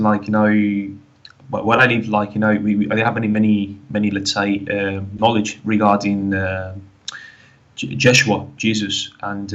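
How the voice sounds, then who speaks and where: A man's voice: lowish voice, fairly bright tone, highs cut off. A man from the Canary Islands with a Spanish accent mixed with British English, calling in and telling his story in a rambling way, full of fillers. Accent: Spanish with British English mix. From Canary Islands, Spain. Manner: rambling, many fillers and restarts. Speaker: caller. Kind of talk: phoned-in personal story.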